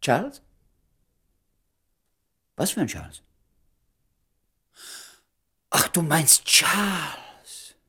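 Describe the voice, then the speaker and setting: A lovesick wry bachelor in a radio play, stammers and repeats himself, voice all over the place. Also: male voice, fairly high pitch, lively intonation, drawn-out words, pauses often, pushed and bright, full character voice. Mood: wry, casual. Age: 30s. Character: exasperated, lovelorn bachelor in his thirties, wry and rambling. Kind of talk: German radio play comedy acting.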